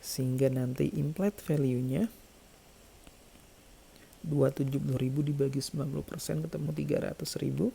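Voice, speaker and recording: A man with a very dark, mellow voice and some gravelly creak lectures like an accounting teacher. He explains figures step by step and often repeats a key phrase to make it stick. A faint noise sits under the recording. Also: on the high side, steady tone, moderate pace, long pauses.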